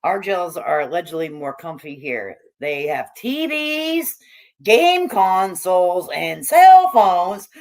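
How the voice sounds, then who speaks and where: A middle-aged or older American woman hosting a casual livestream, chatting with viewers in a salty, slangy, irreverent way on the slightly low side. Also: big pitch swings, takes it slowly, darkish tone. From United States, raised among hippies. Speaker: livestream host reading and answering chat. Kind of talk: casual livestream chat, joking commentary.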